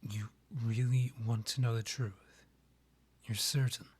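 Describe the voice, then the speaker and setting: A man playing a proud, guarded vampire king in a romantic ASMR roleplay, with a breathy voice often near a whisper and a formal, courtly, halting manner. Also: medium pitch, level tone, darkish tone. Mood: flustered, guarded.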